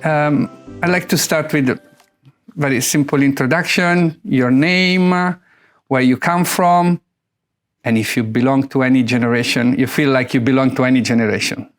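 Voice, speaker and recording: A middle-aged man acts as interviewer, asking questions in a conversational style. His voice sits at a medium pitch. He phrases things hesitantly, often searching for the next word. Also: moderate pace, soft background music.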